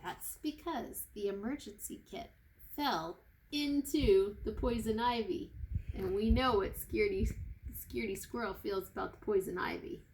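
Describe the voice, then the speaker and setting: A woman reading a children's storybook aloud like a kindergarten teacher, with expressive, deliberate phrasing for young listeners. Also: mid-range voice. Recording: echoey room with faint noise.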